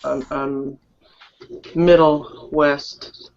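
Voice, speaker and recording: A woman in a casual online group chat, teasing, insistent and quick to laugh. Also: deep voice, little pitch movement, darkish tone, lo-fi sound. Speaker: chat participant.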